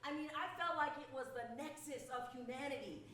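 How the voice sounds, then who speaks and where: Woman from New York City, bright tone, emphatic storytelling, distant and roomy. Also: high voice, brisk, slight background noise. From Lower East Side, New York City. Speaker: stage performer. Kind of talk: scripted theatre monologue. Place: theatre stage. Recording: roomy echo from far off.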